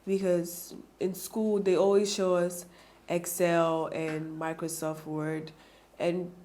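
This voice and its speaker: A teenage woman speaks. Her voice sits low and mellow. She answers earnestly, quick to agree. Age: teens. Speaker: pageant titleholder guest. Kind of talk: interview answers.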